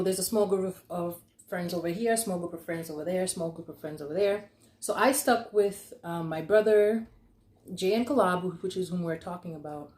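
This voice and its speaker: A woman's voice: lowish voice, darkish tone. Young female storyteller, informal and chatty, acts out quotes, echoey room sound. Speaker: storyteller, vlog host.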